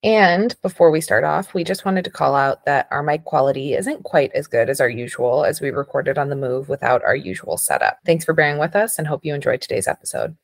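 A woman's voice: low voice, expressive, a little fast, gravelly, hardly any pauses, no room sound, studio quality. A woman co-hosting a podcast, chatting casually in a supportive, conversational way. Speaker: podcast co-host.

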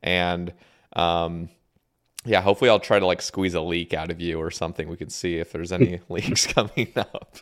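Croaky low-voiced man hosting a podcast. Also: moderate pace. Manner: relaxed, rambling with fillers and restarts. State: croaky, just woke up. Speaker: podcast host. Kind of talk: casual chat.

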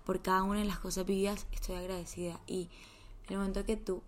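A young woman, hosting a podcast in a heartfelt, motivational chat that often sinks to a soft whisper. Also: medium pitch, little pitch movement, quick pace. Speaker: podcast host.

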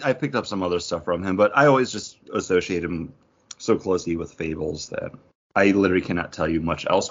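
A man chatting casually as a podcast co-host, opinionated and casually profane. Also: sits low. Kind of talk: casual chat. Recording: dull lo-fi audio.

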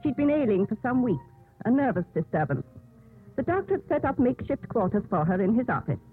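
Husky, commanding Mother Superior. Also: a little high, very animated, fairly quick, soft background music, full character voice. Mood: measured narration. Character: calm, authoritative Mother Superior narrating her story. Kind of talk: 1950s radio drama acting and narration. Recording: muffled phone-like old radio broadcast.

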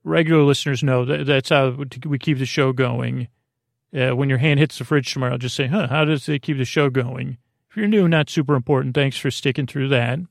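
A man with a creaky voice hosting a bedtime podcast, rambling in a halting, goofy, digressive way. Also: mid-range voice, no echo, clean studio sound. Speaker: podcast host.

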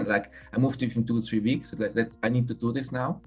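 A man with a Swiss accent speaks frankly as a podcast guest, often breaking off and restarting his phrases. His voice sits at an ordinary pitch, and faint music plays underneath. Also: fairly even intonation, breathy edge. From Switzerland. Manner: frank, halting, restarts phrases mid-sentence. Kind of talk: casual interview conversation.

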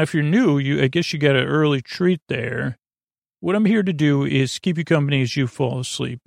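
Creaky-voiced man rambling gently, close and dry. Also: medium pitch, no echo. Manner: meandering, hesitant, lulling, self-deprecating. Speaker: podcast host. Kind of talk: rambling, tangent-filled sleep podcast monologue.

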